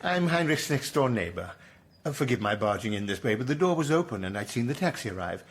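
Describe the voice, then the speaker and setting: A courteous, apologetic neighbour for radio drama. The voice is husky and moves expressively in pitch, with effusive politeness. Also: very animated, fast. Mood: apologetic, curious. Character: polite, apologetic, prying next-door neighbour.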